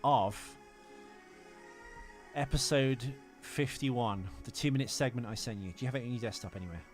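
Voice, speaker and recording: A man's voice: mid-range voice, normal speed. A man hosting a casual film podcast, talking in a rambling, hesitant, joking way. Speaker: podcast host. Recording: music behind the voice.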